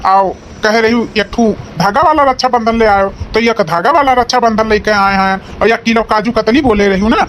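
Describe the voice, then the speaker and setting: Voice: normal speed, hardly any pauses, a bit bright, close to an everyday voice. A performer voicing a practical, patient village husband in an Awadhi comedy cartoon, plain-spoken and questioning. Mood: matter-of-fact. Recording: faint rumble and background noise.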